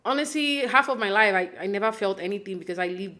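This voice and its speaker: A young woman from Nigeria talks through a reality show recap in a loose, chatty way. She leans on fillers, restarts her sentences, and slips into little acted-out quotes. Her voice sits at an ordinary middle pitch. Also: expressive, fast talker, sharp tone. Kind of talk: casual recap commentary.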